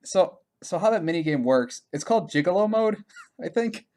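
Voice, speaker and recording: A man's voice: sits high, expressive, moderate pace, darkish tone. A man co-hosting a podcast, chatting casually about video games in a rambling way and often laughing as he talks. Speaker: podcast co-host.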